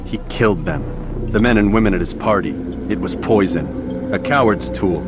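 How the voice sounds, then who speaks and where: A man's voice: on the low side, normal speed, natural voice. A man playing a stern young male assassin in a video game, speaking in clipped, cool, restrained lines. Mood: grave. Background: orchestral game music.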